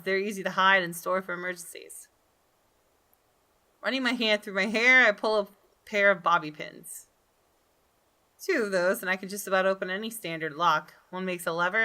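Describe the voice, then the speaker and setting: A woman's voice: on the low side, bright, forceful tone. A woman hosting a gaming video, reading visual novel text aloud and reacting expressively.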